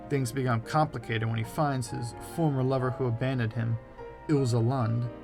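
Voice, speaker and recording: A man's voice: mid-range voice, creaky. A man reading scripted narration for a video essay.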